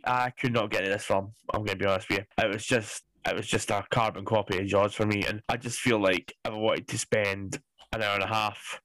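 A man's voice: on the low side, fairly bright tone, dry recording, poor audio. A man in his forties co-hosting a film podcast, ranting bluntly and sarcastically with plenty of swearing. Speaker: podcast co-host. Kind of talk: casual chat.

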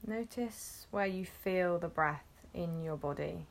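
A woman guiding a meditation, gently instructing in short phrases with long, unhurried pauses. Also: fairly low pitch, creaky. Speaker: meditation guide. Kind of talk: guided meditation, soothing instruction.

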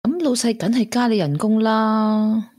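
A woman's voice: a little high, unhurried, darkish tone. An actress playing a jealous, sceptical girlfriend in a radio drama, with a Hong Kong accent and pointed questioning manner. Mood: curious, dry. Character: jealous, sceptical woman questioning her boyfriend. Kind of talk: Cantonese radio drama acting.

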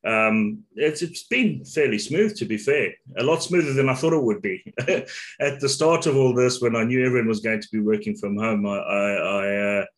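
A man's voice: medium pitch, moderate pace. A man from Zimbabwe, a podcast guest, chatting easily on a video call, often laughing and restarting his sentences. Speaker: podcast guest, business owner. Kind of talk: casual conversation over a video call.

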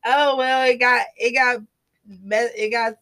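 A woman's voice: a little high, steady tone, slow pace, fairly bright tone. A young woman co-hosting a casual podcast chat, hesitant and filling her talk with "like" and "um". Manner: hesitant, many "like" and "um" fillers. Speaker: podcast co-host.

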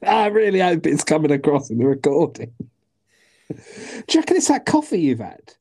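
A woman's voice: low-pitched, wildly swooping pitch, normal speed, mellow, breathy edge. A woman co-hosting a podcast in casual chat, teasing her partner and laughing often. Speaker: podcast co-host.

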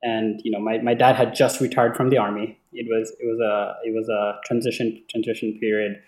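A man's voice: lowish voice, level tone, fairly quick, a little mellow. Echoey young man from Bangladesh, conversational. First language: Bengali. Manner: hesitant, often repeating words. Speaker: interview guest. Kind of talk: casual conversational storytelling. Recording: echoey, roomy sound.

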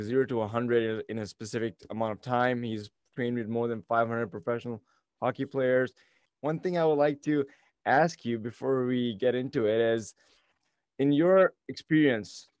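A man's voice: mid-range voice, no echo. A man hosting an interview podcast, talking conversationally and asking rambling questions. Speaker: podcast host.

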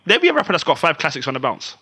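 Animated fast-talking man, bright husky voice. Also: on the high side. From Somaliland. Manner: animated, teasing, quick talk. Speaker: podcast co-host. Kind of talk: casual debate chat.

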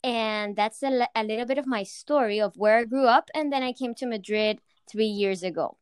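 Young Latin American woman founder, smooth voice. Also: on the high side, normal speed, no echo, studio quality. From Argentina. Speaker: founder of a fitness company. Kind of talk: interview answers.

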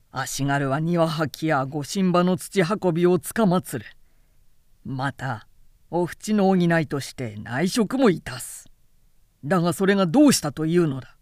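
A man's voice: fairly high pitch, dry recording, clean studio sound, pitched below the performer's own voice, close to an everyday voice. A woman voicing a proud, angry male foot-soldier spearman who speaks bold, formal samurai Japanese in a period story. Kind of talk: literary period-story reading.

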